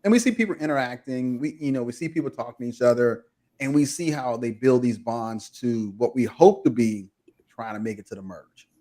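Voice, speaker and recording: A man hosting a relaxed podcast recap, keeping the talk moving with an animated, easy laugh. His voice sits at an ordinary middle pitch. He sounds chatty and in charge. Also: fairly quick. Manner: animated, laughs easily, leads the conversation. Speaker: podcast host. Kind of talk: casual recap chat.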